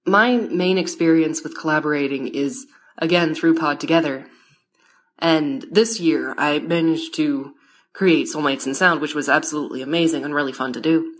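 A woman's voice: sits low, moderate pace. A woman giving an informal personal account in a recorded message for a podcast.

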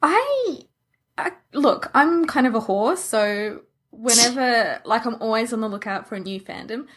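A woman's voice: on the high side, animated, unhurried, fairly bright tone, husky. A woman co-hosting a podcast in casual fan chat, playful, agreeable and readily amused. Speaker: podcast co-host.

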